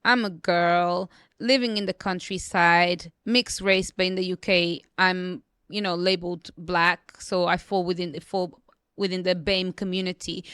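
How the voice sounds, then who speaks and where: A woman's voice: on the low side, a bit bright, smooth, no room sound, studio quality. A young adult woman who grew up in the UK after immigrating, with English not her first language, talking confidently and emphatically as a podcast guest. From immigrant raised in the UK. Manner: confident, emphatic, repeats "you know what I mean". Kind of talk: conversational interview.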